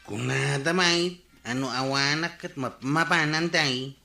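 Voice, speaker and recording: Echoey; evasive village nephew, mid pitch, bright, Ilocano accent, radio drama. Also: male voice, measured pace, gravelly, soft background music. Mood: defensive. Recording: roomy echo.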